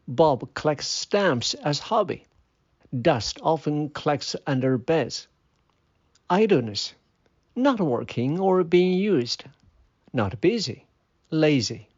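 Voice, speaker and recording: A narrator reading a children's fable and explaining English words in a deliberate, instructional manner. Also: mid-range voice, a little mellow. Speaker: storyteller and English vocabulary teacher. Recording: dull, muffled lo-fi sound.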